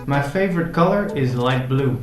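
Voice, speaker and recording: A man's voice: mid-range voice, animated, moderate pace. Male vlogger, 20s, Dutch accent, casual delivery, echoey room with guitar music underneath. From Netherlands. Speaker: vlog host. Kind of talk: casual chat to camera. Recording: roomy echo, guitar music behind.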